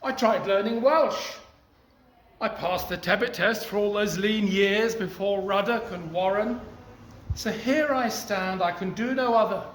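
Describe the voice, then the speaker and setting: A man in his sixties with a posh English accent, reading his poetry outdoors in an animated, storytelling way. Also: sits high, unhurried, reverberant, a little hiss or hum, low-quality recording. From East Africa. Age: 60s. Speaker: poet. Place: outdoors outside a cafe.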